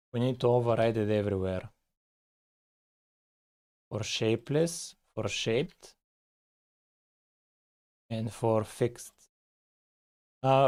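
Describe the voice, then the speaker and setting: A man, talking casually on a live coding stream, thinking aloud with frequent pauses and "uh"s. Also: mid-range voice, mellow, smooth. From Bulgaria. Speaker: live-streaming game developer.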